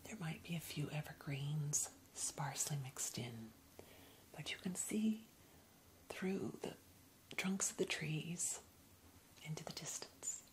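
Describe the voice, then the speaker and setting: A woman whispering breathily and gently, guiding a soothing, unhurried ASMR meditation. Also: sits low, animated, rough recording. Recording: clear background noise, ambient hiss.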